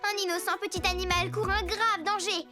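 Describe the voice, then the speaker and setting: Small animal-loving heroine, extremely high pitch, excitable, earnest, kids' cartoon, music underneath. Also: female voice, a little fast. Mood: concerned, heroic. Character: eager young superhero girl who loves animals. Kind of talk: children's cartoon dub.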